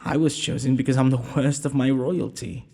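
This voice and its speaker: A man's voice: medium pitch, fairly even intonation, moderate pace, vocal fry, mellow, breathy edge. A man playing a shy, tender, self-doubting young prince in a romantic audio roleplay, speaking in hesitant, formal courtly phrases. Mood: earnest, reassuring. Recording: long hall-like reverb.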